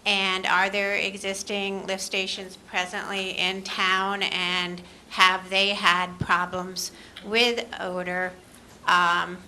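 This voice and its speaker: Local woman asking questions at a town meeting, bright crisp voice, bit of noise. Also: mid-range voice, little pitch movement, slow pace, low-quality recording. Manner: inquisitive, direct. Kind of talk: public comment.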